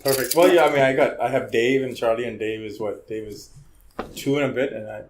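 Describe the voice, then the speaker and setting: A man's voice: mid-range voice, a little fast, crowd noise. A man in his forties who grew up in Hong Kong, a guest in a casual podcast chat, talking freely and often ending sentences with "right?". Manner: chatty storyteller, tags sentences with "right?". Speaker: podcast guest, criminal defense lawyer.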